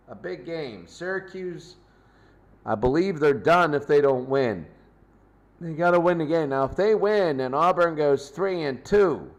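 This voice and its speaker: A man with a slightly high-set voice recaps sports results as a host. He speaks very slowly, in short, halting phrases, working things out aloud without a script. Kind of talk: unscripted sports recap and commentary.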